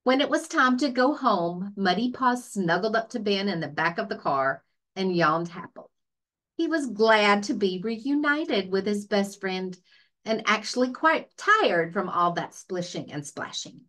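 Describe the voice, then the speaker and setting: A woman librarian reads a children's story aloud. She takes her time and lets her pitch rise and fall expressively, shaping each phrase for young listeners. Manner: expressive storytelling with deliberate pacing. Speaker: librarian reading children's picture books aloud.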